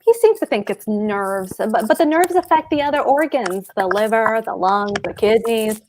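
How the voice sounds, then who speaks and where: A woman's voice: a little high, lively intonation, normal speed, a little mellow, slight background noise, close to an everyday voice, rough recording. A woman at a table read playing a pompous, dutiful husband and government clerk who declaims his opinions emphatically. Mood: pedantic, blunt. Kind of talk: cold table read of a stage play.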